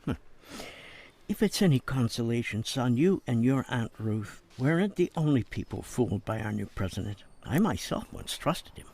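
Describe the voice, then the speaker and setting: A wry, persuasive jailed politician, lively delivery. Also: male voice, medium pitch, normal speed. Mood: earnest, lecturing. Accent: American. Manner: wry, coaxing, avuncular, persuasive. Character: shrewd, smooth-talking jailed politician, older man, persuasive. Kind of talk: dramatic game cutscene acting.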